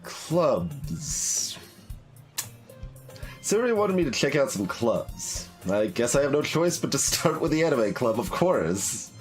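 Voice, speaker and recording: Hesitant, animated male game streamer. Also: mid-range voice, slow pace, soft background music. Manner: hesitant, wry. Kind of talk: casual let's play, reading game text aloud.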